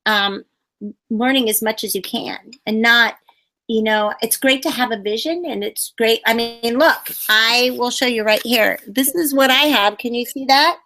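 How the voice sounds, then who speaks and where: A woman's voice: a little high, normal speed, bright, forceful tone, rough recording. A woman puppeteer giving advice in a casual interview, rambling with many fillers and restarts.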